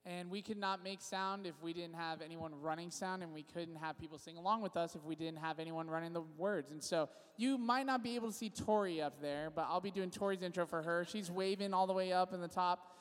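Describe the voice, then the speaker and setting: A man's voice: sits high, fairly even intonation, fairly quick, hardly any pauses. A young man from California leading worship, casually introducing his band and then praying aloud in a loose, friendly way. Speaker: worship leader. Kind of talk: church service intro and spoken prayer. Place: church sanctuary. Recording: long reverb of a large church hall.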